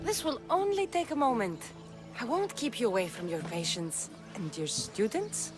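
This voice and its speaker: A woman's voice: fairly high pitch, lively intonation, normal speed, music in the background, natural voice. A woman playing a self-assured, direct and wry Spartan woman adventurer in a video game cutscene. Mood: calm, assured.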